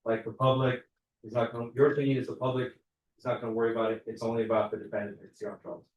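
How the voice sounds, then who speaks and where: A man working as a prosecutor, questioning a jury panel in a quick, conversational way. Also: mid-range voice, level tone, muffled recording. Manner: fast, conversational, explains with examples. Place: large courtroom. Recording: echoey courtroom from far off.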